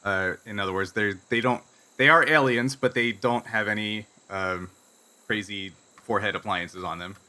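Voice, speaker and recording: A man's voice: fairly low pitch, moderate pace, pushed and bright. A man improvising narration as game master of a tabletop game in a conversational, explanatory way.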